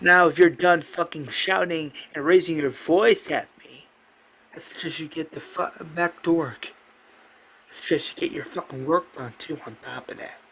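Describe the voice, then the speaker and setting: A man playing a ruthless, defiant leader of a survivor town, forceful, profane and emphatic. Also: fairly high pitch, moderate pace, slight background noise, rough recording. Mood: angry, defiant.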